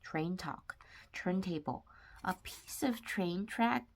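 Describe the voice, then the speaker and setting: A woman reading a children's nonfiction book aloud with simple, deliberate phrasing, sometimes stumbling over words. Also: lowish voice, lively intonation. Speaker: read-aloud narrator of children's books.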